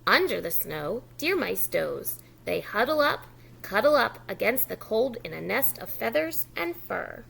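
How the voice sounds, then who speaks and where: A woman's voice: medium pitch, big pitch swings, a little slow, creaky, a bit bright, slight background noise, rough recording. A woman preschool educator leading a read-aloud lesson for young children, speaking instructively and repeating key words. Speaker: preschool educator reading a picture book to children.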